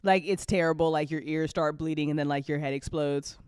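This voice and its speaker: A woman's voice: low-pitched, steady tone, a little fast, a little mellow, smooth, no room sound, studio quality. A woman hosting a casual after-show chat, talking in an emphatic, gushing, sweary way. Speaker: host and audio drama writer.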